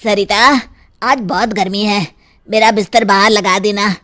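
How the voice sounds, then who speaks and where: A woman voicing an ordinary, excitable village husband in a dramatized folk tale. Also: medium pitch, a little slow, close to an everyday voice. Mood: casual.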